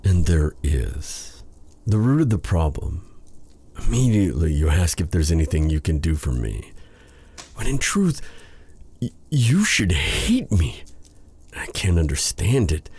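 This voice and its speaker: Man acting a deep, husky, brooding dark sorcerer. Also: big pitch swings, exaggerated voice. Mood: troubled, gentle, then anguished, self-loathing. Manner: halting, formal, intimate, weighted with pauses. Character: brooding, remorseful dark sorcerer, bitter yet tender captor. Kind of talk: dramatic ASMR roleplay addressed to the listener.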